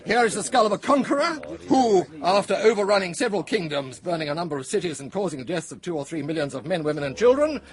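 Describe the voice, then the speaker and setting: A man's voice: high-pitched, sing-song, fast, few pauses. An actor playing a pompous male phrenologist delivering a lecture in a radio drama, with a theatrical delivery. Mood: lecturing. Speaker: lecturer at a dinner party. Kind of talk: 1980s radio drama acting.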